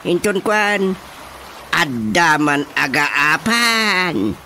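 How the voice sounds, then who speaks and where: A man's voice: on the high side, big pitch swings, very slow, sharp tone, a little hiss or hum, exaggerated voice. An actor playing a worried, complaining older father with an Ilocano Filipino accent, sighing and grumbling in a radio drama comedy. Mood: fretful. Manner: sighing, grumbling, full of interjections.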